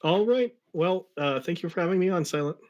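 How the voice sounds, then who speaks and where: A man's voice: high-pitched, expressive, fairly quick, a little mellow, highs cut off. Dry-humored man in casual chat. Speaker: guest commentator.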